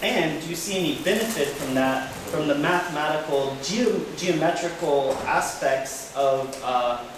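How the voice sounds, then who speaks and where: A man moderating a Q&A at a public talk, asking deliberate, measured questions with frequent "uh" fillers. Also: fairly high pitch. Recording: echoey hall, voice far from mic, noisy.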